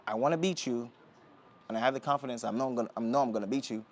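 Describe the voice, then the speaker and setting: A young man from Jamaica talking as a podcast guest, relaxed and rambling with many fillers and restarts. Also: medium pitch, racing. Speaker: guest, Olympic sprinter. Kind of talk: casual interview talk. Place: indoor podcast setting.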